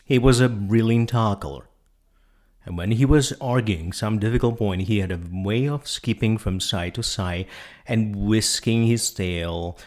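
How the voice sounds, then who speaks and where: A man reading a novel aloud as a storyteller, with measured, theatrical phrasing. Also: on the low side, a little mellow. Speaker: audiobook narrator. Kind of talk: dramatic scripted narration.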